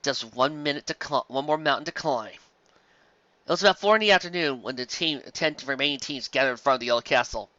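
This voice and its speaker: Expressive, bright-voiced story reader. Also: mid-range voice, fairly quick, no room sound, lo-fi sound. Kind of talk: reading a pony fan story aloud.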